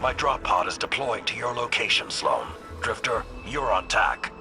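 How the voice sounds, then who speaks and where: An actor playing a stern old warlord in a video game, gruff, formal and commanding. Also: male voice, medium pitch, moderate pace, natural voice. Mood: resolute. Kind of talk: dramatic video game voice acting. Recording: game dialogue over background music.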